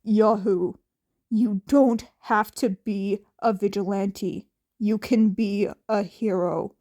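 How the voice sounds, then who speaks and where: A woman voicing a gruff, impatient, weary older male hero who speaks curtly and bluntly in an audiobook story. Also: mid-range voice, steady tone, slow, warm tone, pitched below the performer's own voice, close to an everyday voice. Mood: earnest. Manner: curt, blunt, insistent. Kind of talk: audiobook character acting.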